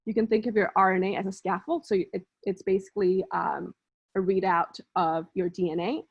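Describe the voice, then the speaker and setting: A woman's voice: mid-range voice, little pitch movement, fairly quick, creaky, breathy edge. A young adult woman from Bangladesh, a research scientist telling her personal story in a conversational, explanatory way and walking through ideas step by step. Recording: slightly muffled lo-fi recording.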